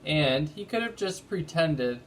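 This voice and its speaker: A man's voice: on the high side, animated, a little slow, fairly bright tone, poor audio. A man voicing an inquisitive, reasoning girl in a children's storybook mystery. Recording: noticeable background noise.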